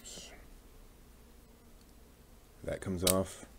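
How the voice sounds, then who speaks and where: A man's voice: extremely slow, whispered. A man hosting a casual hobby stream, chatting and talking to himself as he works with his hands. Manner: talks to himself while working. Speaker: host. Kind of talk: casual hobby livestream chat while building a model kit.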